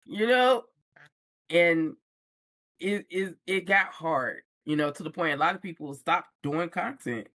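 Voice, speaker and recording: A woman's voice: lowish voice, measured pace, fairly bright tone, no room sound, studio quality. A woman guest on a podcast, talking candidly in casual chat and telling stories with plenty of slang. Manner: candid, slang-laced storytelling. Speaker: podcast guest, book influencer. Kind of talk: casual conversation.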